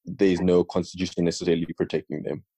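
Young male podcast co-host from South Africa, husky and dry. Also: low-pitched, fast, dry recording. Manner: relaxed, chatty, jokes with his co-host. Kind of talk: casual conversational podcast.

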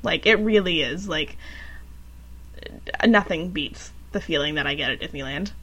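A young woman in her twenties co-hosting a casual podcast, gushing in an upbeat way with soft laughs. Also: low voice, lively intonation, moderate pace, breathy edge, lo-fi sound. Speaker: podcast co-host. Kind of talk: casual chat.

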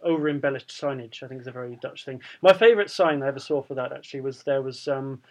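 A man's voice: medium pitch, quick pace. A man co-hosting a news podcast, chatting casually and then reading news items, laughing easily. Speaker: podcast co-host. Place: lives in the Netherlands.

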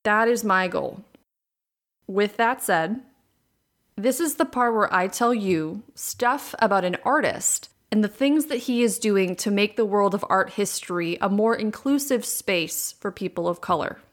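A young adult woman hosting a solo podcast, with a raspy voice and vocal fry worn from recording many times, talking candidly and repeating words for emphasis. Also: medium pitch, a little slow. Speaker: podcast host. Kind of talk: casual solo podcast talk. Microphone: USB condenser microphone.

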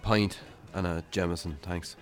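An actor playing a weary, defensive middle-aged Irish farmer who drinks too much, curt and evasive, in naturalistic radio drama. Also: male voice, low-pitched, steady tone, unhurried, soft background music.

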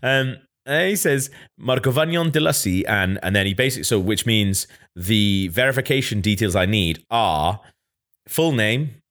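A man with a slightly low voice hosts a casual podcast. He tells his story loosely, swears freely and puts on mocking voices for the people he quotes. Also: lively intonation, normal speed, a bit bright. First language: Welsh. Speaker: podcast host.

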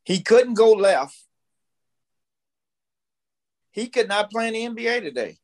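A man's voice: high-pitched, expressive, a little fast, a little mellow. An American man in his fifties telling stories casually, blunt and opinionated, repeating himself for emphasis. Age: 50s. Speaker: podcast guest. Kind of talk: casual storytelling conversation.

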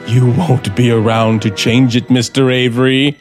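A man's voice: mid-range voice, level tone, normal speed, soft background music, close to an everyday voice. A man acting in a radio drama as a bitter, vengeful scriptwriter with a sardonic, theatrical manner. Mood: menacing, sardonic. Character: bitter, vengeful male radio scriptwriter turned would-be murderer.

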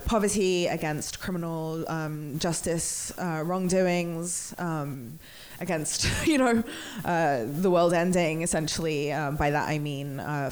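A woman's voice: lowish voice, a little mellow. Nasal English woman with a cold. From England. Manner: chatty, many ums and restarts. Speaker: bookstore events host. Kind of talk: event introduction. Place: bookstore event space.

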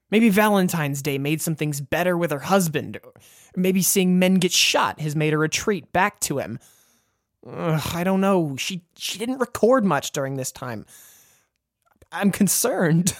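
An actress playing an invested listener musing aloud in an audio drama monologue, conversational and sighing. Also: female voice, lowish voice, expressive, a little slow, dry recording, studio quality. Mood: concerned, frustrated.

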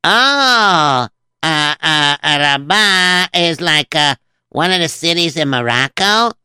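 A goofy, interrupting sidekick who mishears everything and trips over his own words, as a man voices him for a children's story show. The voice is very bright and clean, recorded close and dry. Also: a little high, lively intonation, slow pace, smooth, no echo, full character voice. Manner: scatterbrained, stammering, giggly. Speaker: comic sidekick.

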